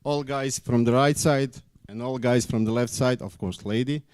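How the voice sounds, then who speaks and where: A man's voice: mid-range voice, moderate pace, darkish tone, clean tone, dry recording, studio quality. A man acting as master of ceremonies, making live announcements on a stage at an event.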